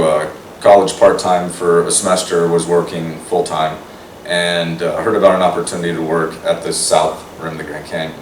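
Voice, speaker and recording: Raspy hoarse guy with a really low voice, laid-back rambly talker, far off in a roomy space. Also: slight background noise. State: hoarse from singing. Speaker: guest, singer and poet. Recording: roomy, distant mic.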